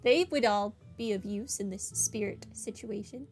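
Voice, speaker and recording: A woman's voice: mid-range voice, moderate pace. A woman hosting a playthrough, chatting casually and reacting aloud to the game. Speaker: gaming video host. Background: game music.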